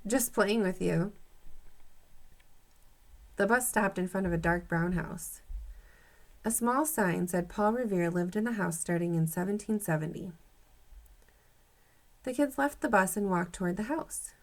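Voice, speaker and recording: A woman teacher reading a children's story aloud to young pupils in an animated, expressive way. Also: medium pitch. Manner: expressive, acts out dialogue, pauses to comment to pupils.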